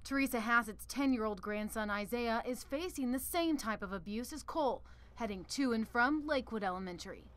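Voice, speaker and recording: A woman's voice: a little high, lively intonation, brisk, hardly any pauses, fairly bright tone. A woman news reporter reading scripted narration for a television report.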